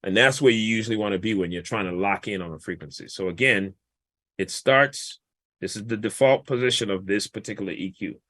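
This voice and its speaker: A man's voice: lowish voice, a little fast, dry recording. Laid-back man explaining mixing steps. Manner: relaxed, explanatory, colloquial. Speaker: guest mix engineer. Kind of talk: casual walkthrough of a mixing session.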